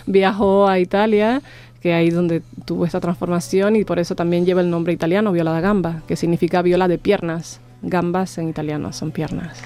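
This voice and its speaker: A woman's voice: on the low side, steady tone, brisk, mellow, faint music behind. Hesitant woman musician. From Cuba. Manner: hesitant, many restarts and fillers. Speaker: viola da gamba player, guest. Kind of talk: radio interview.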